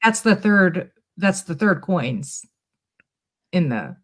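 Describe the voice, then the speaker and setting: A woman with a slightly low voice talks at a slow, unhurried pace. She is a guest in a casual tarot chat, thinking aloud and pausing as she works out her view. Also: sing-song.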